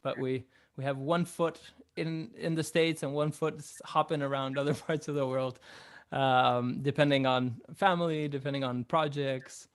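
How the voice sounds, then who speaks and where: A young man raised in Chile, speaking as a musician guest in an interview. He answers at a relaxed, reflective pace with many ums and uhs, in a mid-pitched voice. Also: moderate pace.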